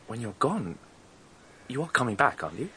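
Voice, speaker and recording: A man's voice: mid-range voice, very animated, moderate pace, sharp tone. An earnest young British man asks and probes like an amateur detective in love. It is radio drama acting, with a faint layer of background noise. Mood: probing, concerned. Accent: British English. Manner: earnest, questioning.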